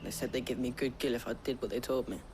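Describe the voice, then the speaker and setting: A man's voice: mid-range voice, steady tone, fast talker, music in the background, lightly acted. A male actor playing a poor, defensive, streetwise young pickpocket in a video game. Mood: ashamed.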